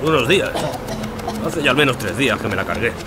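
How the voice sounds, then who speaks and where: Actor as a cowed, pleading killer over loud music. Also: male voice, mid-range voice, expressive, fairly quick, close to an everyday voice. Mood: subdued confessing. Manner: pleading and deferential. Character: frightened, submissive male serial killer confessing to police. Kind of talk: audio drama acting.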